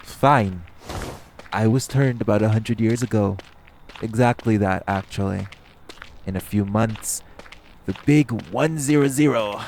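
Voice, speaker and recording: Man playing a deep, gravelly, cocky male vampire. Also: unhurried, pauses often, a little mellow, a little hiss or hum. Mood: teasing, cocky. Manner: smug, taunting, drawling, playfully menacing. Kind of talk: intimate roleplay audio drama.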